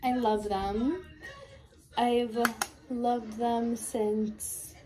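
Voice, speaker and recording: A woman's voice: fairly high pitch, flat delivery, extremely slow, noisy background. A young woman, stuffy and sniffly from a cold, chatting casually while doing her makeup at home. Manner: chatty, candid, filler-heavy. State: congested and sniffly. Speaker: lash tech and beauty vlogger. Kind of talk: casual get-ready-with-me chat. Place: bedroom, indoors. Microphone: camera in front, close.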